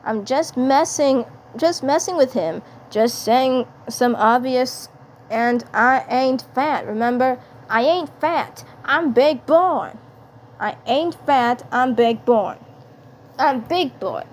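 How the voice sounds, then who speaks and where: A woman's voice: high-pitched, expressive, slow, a little hiss or hum. A woman playing a snide, teasing, defensive fat schoolboy in a cartoon fan dub.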